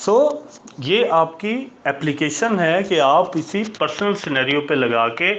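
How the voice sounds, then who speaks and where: A man's voice: a little high, a bit bright, highs cut off. A man giving an informal explanatory lecture in a didactic way, posing questions and answering them, mixing in English phrases. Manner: switches between Hindi-Urdu and English. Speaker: law lecturer.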